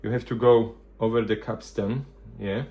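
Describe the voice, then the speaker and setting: Low-pitched man giving a casual demo. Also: steady tone, warm tone, slight background noise. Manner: halting, loosely phrased, thinking aloud. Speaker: vintage hi-fi video presenter. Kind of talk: casual hands-on product demonstration.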